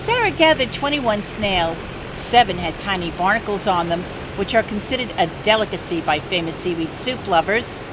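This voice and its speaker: An older woman reading a children's picture book aloud in a gentle, unhurried bedtime-story manner. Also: medium pitch. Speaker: storybook reader. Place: outdoors by the sea. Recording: steady outdoor noise.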